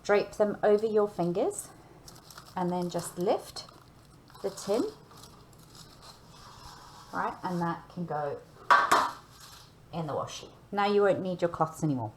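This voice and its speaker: A woman's voice: on the low side, animated, slow, long pauses, noisy background. A woman giving a hands-on baking demonstration, talking learners through each step in a chatty, instructional way and often checking in. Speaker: cooking and baking teacher.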